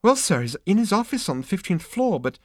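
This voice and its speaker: Brief: a man playing a fussy insect receptionist, shrill and snippy, a storybook creature in a flap. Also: very animated, a little fast, no echo, clean studio sound. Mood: prissy. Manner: icy, squeaky, flouncing. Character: prissy, fussy insect receptionist, petulant and easily flustered.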